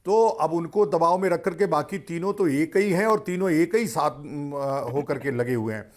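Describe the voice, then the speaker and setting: Swami guy on a tv debate, calm and explaining, bit high voice. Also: moderate pace. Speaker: religious panelist.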